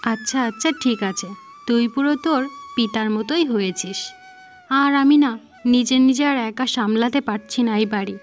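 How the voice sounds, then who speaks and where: Woman putting on a fussy, high-voiced cartoon mother. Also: normal speed, close to an everyday voice. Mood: exasperated, affectionate. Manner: complaining, familiar. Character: fussy, nagging mother of a grown merchant son. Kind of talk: fairy-tale cartoon dialogue. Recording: music under the voice.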